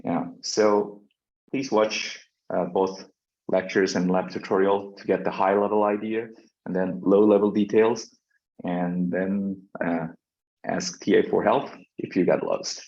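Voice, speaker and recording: A man's voice: low-pitched, steady tone, a little slow, darkish tone. A man whose first language is Korean, giving a university lecture with many fillers and restarts. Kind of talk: classroom lecture. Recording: dull lo-fi lecture recording.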